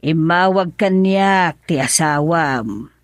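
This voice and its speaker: A woman's voice: low-pitched, takes it slowly, no room sound. A woman with an Ilocano accent playing a worried middle-aged mother in a radio drama, pleading and anxious. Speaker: mother character.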